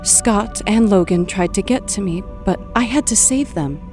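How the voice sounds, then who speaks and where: A woman playing a bewildered young mutant woman just woken from a coma, speaking haltingly in an audio drama. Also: medium pitch, a little mellow, faint music behind, lightly acted. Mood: confused, troubled. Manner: halting, dazed delivery.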